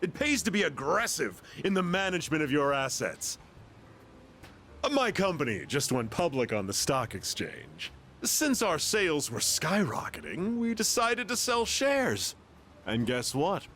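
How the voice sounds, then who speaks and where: A man's voice: a little high, wildly swooping pitch, a little slow, fairly bright tone, slight background noise, exaggerated voice. An actor playing a boastful male company owner who brags and chuckles in a pushy, salesy way. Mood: smug. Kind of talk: video game voice acting.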